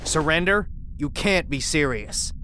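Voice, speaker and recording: Actor as a male military commander, higher-set voice, authoritative, rallying, faint noise behind. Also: expressive, measured pace, bright, forceful tone. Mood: resolute. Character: stern, steadfast male military resistance commander.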